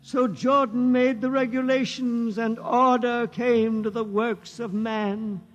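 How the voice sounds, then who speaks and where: A man in a 1950s radio drama playing an aged keeper of a sacred legend, reciting slowly and solemnly. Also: very high-pitched, steady tone, muffled recording. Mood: solemn chanting. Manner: slow, intoning recitation. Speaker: old-time radio drama actor.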